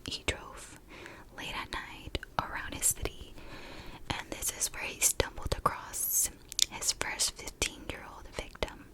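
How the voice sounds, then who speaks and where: A woman speaks in a breathy, hushed whisper, as in an ASMR video. She tells her story casually and gently, taking her time and pausing between short phrases. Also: rough recording. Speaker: ASMR creator and true crime storyteller.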